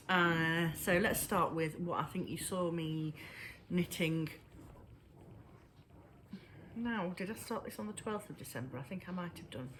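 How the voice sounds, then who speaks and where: A woman chatting casually to camera about her knitting, a little breathless and wheezy, pausing often as she talks. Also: lowish voice, sharp tone, slight background noise. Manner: halting, pauses often. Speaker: knitting vlogger. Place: at home indoors.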